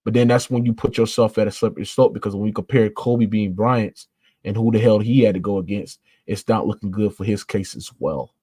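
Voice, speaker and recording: A man's voice: lowish voice, steady tone, a little fast, warm tone, no room sound. A man hosting a sports debate in slangy casual talk, insistent and argumentative, repeating his points and swearing freely. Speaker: sports debate show host.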